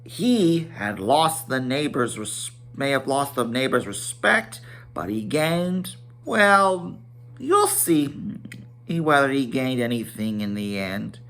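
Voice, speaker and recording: A man's voice: mid-range voice, big pitch swings, slow pace, fairly bright tone. A man reading a fantasy storybook aloud in a theatrical, emphatic manner, stumbling now and then. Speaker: amateur storybook reader. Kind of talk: dramatic read-aloud of a children's fantasy novel.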